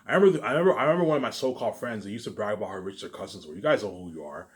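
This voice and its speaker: Fast-talking man in a rambling, boastful rant. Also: fairly low pitch. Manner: repetitive, restarting phrases over and over; profane, boastful ranting with sudden loud bursts. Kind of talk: rambling spoken monologue to online viewers.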